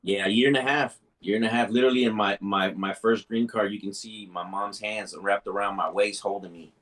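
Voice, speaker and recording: Chill bay area dude, kinda low voice, talking slangy, lots of bro and spanish bits. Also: male voice, fairly even intonation, a little fast, fairly bright tone. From Mexico. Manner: laid-back street slang, mixes Spanish phrases, lots of "bro" and "man". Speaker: podcast guest, musician. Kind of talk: casual podcast chat.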